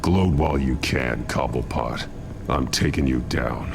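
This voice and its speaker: A man's voice: rumbling low, measured pace, vocal fry, background noise, full character voice. A man playing a grim, commanding masked vigilante hero in a video game, speaking in a terse, stern, controlled way. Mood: stern, threatening.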